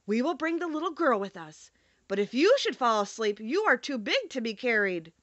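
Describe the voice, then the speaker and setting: A woman voicing a cheerful, eager male scarecrow in a children's storybook, exclaiming brightly. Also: on the high side, sing-song, normal speed, no echo, muffled recording. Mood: urgent.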